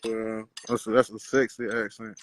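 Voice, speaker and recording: A man from South Carolina, flirting in a relaxed way over a video call. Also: fairly low pitch, moderate pace, slight background noise. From South Carolina, USA. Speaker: live call-in guest. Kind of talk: flirty casual chat.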